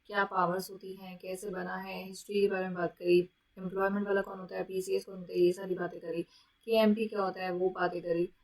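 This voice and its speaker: An Indian woman gives an exam-prep lecture in a medium-pitched voice. She has a sore throat and sounds like a teacher explaining point by point. She mixes languages and keeps adding short check-in tags for her students. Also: level tone, quick pace, vocal fry, rough recording. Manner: mixes Hindi and English, frequent check-in tags.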